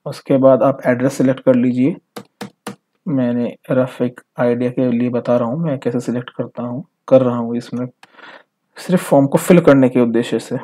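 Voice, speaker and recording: A man's voice: mid-range voice, fairly even intonation, moderate pace, dark tone. A man with an Indian accent presenting an informal step-by-step tutorial in an explanatory, instructional way. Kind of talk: informal step-by-step screen tutorial, mixing in English phrases.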